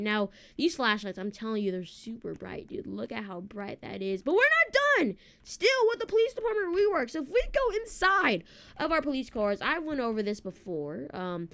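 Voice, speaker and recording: A woman's voice: on the high side, a bit bright. A woman giving casual, informal gameplay commentary, talking directly to viewers as she walks through features. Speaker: video game streamer and presenter.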